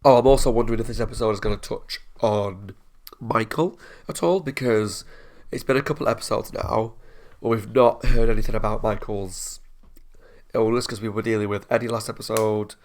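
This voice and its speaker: Stuffy-nosed guy with a cold rambling about a tv episode, gravelly creaky voice. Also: fairly low pitch, fairly even intonation, normal speed. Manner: chatty, rambling, laughs often. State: congested with a cold. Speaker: reaction channel host. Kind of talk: casual reaction commentary.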